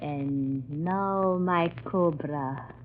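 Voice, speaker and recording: An actress playing a deadly exotic villainess who speaks with mock politeness and chant-like menace in 1940s radio style. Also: female voice, sits low, heavily acted. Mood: menacing, hypnotic. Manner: slow, incantatory, mock-polite. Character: exotic villainess, cobra charmer, silky and deadly. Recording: tinny, noisy old radio recording.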